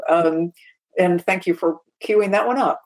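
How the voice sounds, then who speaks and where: A woman's voice: lowish voice, unhurried, poor audio. A woman co-hosting an aviation talk show, explaining points in a casual but emphatic way. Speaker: podcast co-host.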